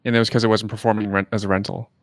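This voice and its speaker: A man hosting a casual interview, relaxed, laughing often and asking short questions. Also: lowish voice, rapid-fire, dry recording. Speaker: podcast host.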